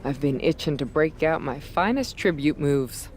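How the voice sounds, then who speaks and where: A woman's voice: low voice, lively intonation, moderate pace, darkish tone. A woman playing a brash, scheming veteran wrestler in a video game cutscene, talking in a persuasive, conversational way. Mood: confident.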